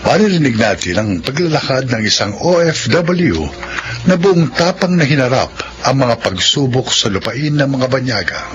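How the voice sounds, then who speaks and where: A Filipino man announcing a radio drama in scripted style. His pitch moves in lively swings at a medium height, over clear music on a slightly dull broadcast recording. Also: moderate pace. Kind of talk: scripted narration and credits reading.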